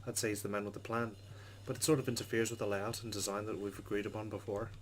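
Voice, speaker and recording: Fast-talking low-voiced man reading aloud. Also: flat delivery, slight background noise. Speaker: let's play host. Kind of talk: reading game text aloud with casual commentary.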